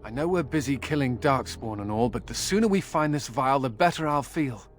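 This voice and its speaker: An actor playing a wry, flippant yet guarded fugitive mage in a video game, speaking conversationally. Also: male voice, medium pitch, lively intonation, fairly quick, faint music behind, lightly acted. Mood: wry, uneasy.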